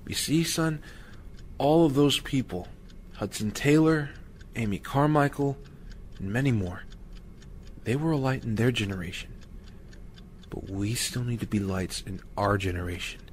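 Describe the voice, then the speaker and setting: A man's voice: medium pitch, measured pace, pauses often, slight background noise, close to an everyday voice. A middle-aged man playing an earnest, encouraging father guiding his son in an audio drama. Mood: earnest, instructive.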